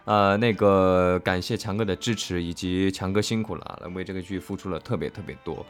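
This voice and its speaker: A man co-hosting a casual livestream in a halting, conversational way, repeating phrases as he goes. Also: low voice, steady tone, soft background music. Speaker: voice actor co-hosting a livestream about an audio drama. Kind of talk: casual live chat.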